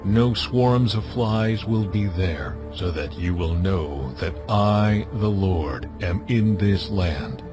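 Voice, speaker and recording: An actor playing a commanding, all-powerful God in a dramatized audio Bible, speaking in slow, solemn, forceful pronouncements. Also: male voice, low voice, over music.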